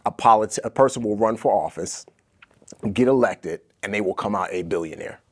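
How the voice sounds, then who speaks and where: An American man in his thirties, a podcast guest telling stories in conversation, animated and laughing between points. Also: fairly low pitch, brisk. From United States. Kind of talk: storytelling in conversation.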